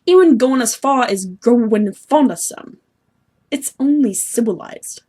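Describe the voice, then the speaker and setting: A woman's voice: on the high side, expressive, measured pace, mellow, husky. A woman voicing a haughty young male sea troll who schemes and sulks, pompous and petulant, in amateur webcomic acting. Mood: condescending. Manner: pompous, petulant, self-pitying.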